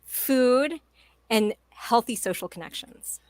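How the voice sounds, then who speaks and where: A woman's voice: on the high side, takes it slowly, a little mellow, dry recording. A woman in her forties speaking as a guest in an interview, emphatic and enthusiastic in a motivational way. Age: 40s. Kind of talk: conversational interview.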